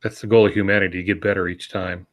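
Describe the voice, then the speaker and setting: An older man, speaking as a panelist in a casual discussion, measured and explanatory. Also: sits low, little pitch movement. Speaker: podcast panelist and novelist.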